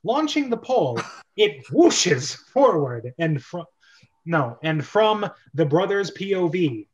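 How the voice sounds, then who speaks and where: Slightly muffled, higher-pitched male host. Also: very animated. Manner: halting, self-correcting. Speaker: host and script reader. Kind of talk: casual, joking amateur table read.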